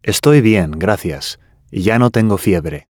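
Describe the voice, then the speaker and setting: A man reading scripted language-course dialogue with careful, clearly enunciated delivery. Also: fairly low pitch, expressive, slow, darkish tone, no echo, clean studio sound.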